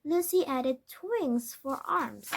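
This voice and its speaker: A woman narrates a children's storybook in a high-pitched voice. Her pace is slow and halting, and she stumbles over words and corrects them as she goes. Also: lively intonation, vocal fry, a little hiss or hum. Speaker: read-aloud storyteller. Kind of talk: scripted children's book narration.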